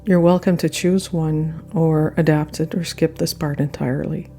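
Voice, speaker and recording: Low, mellow woman guiding meditation. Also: fairly even intonation. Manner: gentle, unhurried phrasing with pauses. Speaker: yoga nidra guide. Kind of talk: guided meditation narration. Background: soft meditation music.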